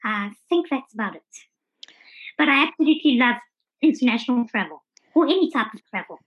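A woman's voice: a little high, lively intonation, brisk, slightly rough, highs cut off. A South African woman in her thirties giving articulate, orderly answers as a guest in a conversational interview. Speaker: podcast guest.

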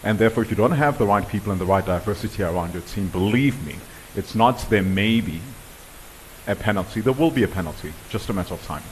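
A man presents at a conference, speaking in a lowish voice. He lands his points firmly and with emphasis. A faint hiss sits under the recording. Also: lively intonation, fast, rough recording. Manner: emphatic, assertive statements. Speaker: diversity workshop presenter. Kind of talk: conference talk.

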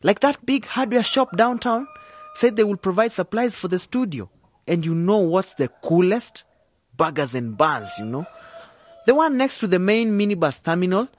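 An actor playing a cocky, excitable young village man who fancies himself a radio DJ, showy and slangy in a radio drama. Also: mid-range voice, normal speed, close to an everyday voice. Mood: excited, proud. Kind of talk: radio drama dialogue with hyped DJ patter.

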